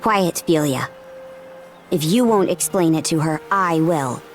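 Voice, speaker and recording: A woman's voice: low voice, animated, unhurried, faint music behind. A woman acting a bold, blunt young warrior princess in a video game cutscene. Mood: firm, explaining.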